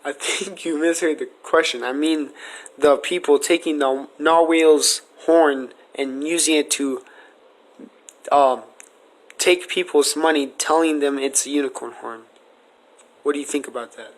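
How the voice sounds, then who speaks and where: A man's voice: on the high side, fairly even intonation, measured pace, rough recording. A man asking questions in a casual, conversational interview style. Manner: informal, conversational.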